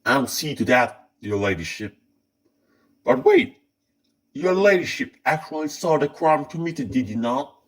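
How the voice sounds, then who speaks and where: A man's voice: on the high side, big pitch swings, measured pace. A man voicing a brusque, dutiful manservant in a radio drama, deferential to his mistress and firm in taking charge. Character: gruff, dutiful male servant taking charge of a prisoner.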